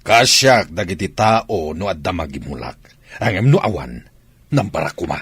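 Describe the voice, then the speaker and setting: A man playing a gruff, hard-hearted, grudging husband in a radio drama. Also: low voice, big pitch swings, normal speed, a bit bright, no echo, full character voice. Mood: annoyed, cold.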